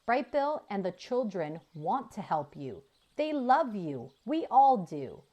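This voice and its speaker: A woman's voice: mid-range voice, sing-song, slow, dark tone, voice pushed up. A woman voicing a curious young female calf in a children's story, earnest and sweet. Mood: comforting. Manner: earnest, inquisitive.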